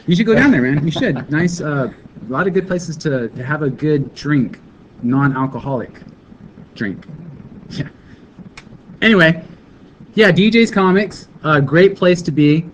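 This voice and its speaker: A man co-hosting a casual chat show, joking and excitable, who laughs often. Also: fairly high pitch, a little slow, long pauses. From California. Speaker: co-host. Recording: dull lo-fi live broadcast with faint background noise.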